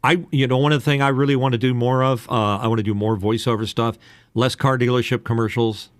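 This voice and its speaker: A man's voice: medium pitch, fairly even intonation, fast talker, dry recording, studio quality. A man in his late sixties chatting casually like a coach, giving candid, rambling explanations as a seasoned announcer and host. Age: late 60s. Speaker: voice-over announcer and podcast host. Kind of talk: casual chat, coaching on voice-over.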